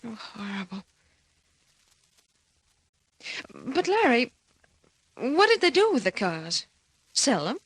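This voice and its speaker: High, excitable young dame. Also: female voice, a little slow, slightly rough, no echo, exaggerated voice. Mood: shaken, curious. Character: anxious, excitable young female client of a detective. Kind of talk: old-time radio drama acting. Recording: dry old radio recording.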